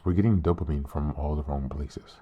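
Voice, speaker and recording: A man hosting a reflective self-help podcast, reading aloud in a calm, earnest way, a little hoarse from being sick. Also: extremely low pitch, a little fast, muffled recording. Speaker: podcast host.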